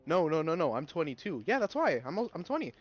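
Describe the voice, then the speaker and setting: A man's voice: on the high side, big pitch swings, fast. A twenty-year-old man chatting on a gaming stream, laid-back and self-mocking, with casual slang. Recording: dull lo-fi mic.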